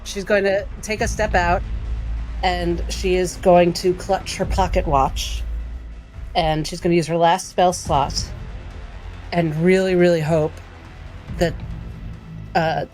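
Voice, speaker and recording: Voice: mid-range voice, level tone, slow pace, pauses often, darkish tone, poor audio. A player describing their character's action in a thoughtful, hedging way. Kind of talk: tabletop game play. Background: music.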